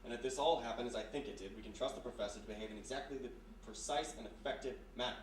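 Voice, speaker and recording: A man playing a quick-thinking, commanding private detective in a period radio mystery, forceful and analytical. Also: medium pitch, close to an everyday voice. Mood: reasoning. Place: live performance in a historic house. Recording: echoey live stage, distant, faint noise.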